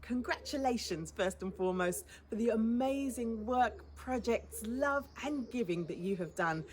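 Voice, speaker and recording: Woman, award speech, encouraging, upbeat, mid pitch, faint background hiss. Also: lively intonation, measured pace. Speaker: guest speaker at an award ceremony. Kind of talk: warm congratulatory address.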